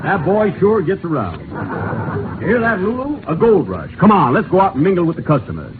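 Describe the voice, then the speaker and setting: A man's voice: high voice, huge pitch swings, fairly quick, a crowd in the background. A man playing a mean, folksy gambling-house boss of the Old West in a 1940s radio comedy sketch. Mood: mock tough. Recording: tinny, noisy 1940s radio broadcast.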